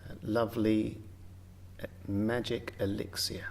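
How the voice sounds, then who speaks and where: A man with a fairly low voice guides a relaxation practice. He speaks very slowly and gently, leaving generous space between short phrases as he gives unhurried meditation instruction. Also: level tone, creaky, slight background noise. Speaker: yoga nidra meditation guide.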